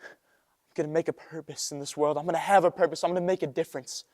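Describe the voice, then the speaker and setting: A man's voice: on the high side, fairly even intonation, quick pace, a little mellow, natural voice. A teenage male student playing a restless, idealistic young man in an earnest, emphatic stage monologue. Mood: impassioned. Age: teens. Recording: echoey hall with long reverb.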